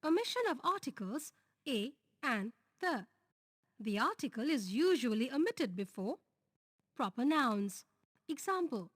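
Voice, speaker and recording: A woman with an Indian English accent reading a grammar lesson, going slowly through lists and example sentences. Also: high voice, no room sound, clean studio sound. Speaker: teacher.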